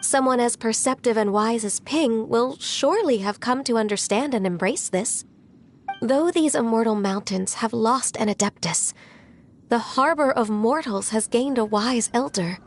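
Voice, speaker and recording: Woman playing a gentle, higher-pitched young heroine. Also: normal speed, a little hiss or hum, natural voice. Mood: earnest, consoling. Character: gentle, earnest young woman, polite and reflective. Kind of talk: video game voice acting.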